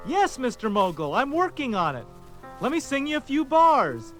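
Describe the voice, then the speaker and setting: A harried, kindly songwriter in a children's record story. His voice is high and swings widely, earnest and unhurried, with music underneath. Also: sing-song, fairly bright tone, exaggerated voice. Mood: anxious, eager.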